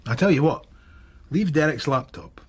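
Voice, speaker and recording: An actor playing a weary middle-aged police detective in a radio drama, with a Scottish accent and a dry, wry manner. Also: male voice, on the high side, animated, moderate pace, husky. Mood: musing.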